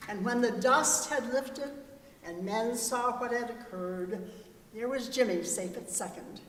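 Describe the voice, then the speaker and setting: A woman's voice: on the high side, measured pace. A woman reciting narrative verse dramatically as a readers theater performer. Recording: echoey hall, distant mic, faint noise.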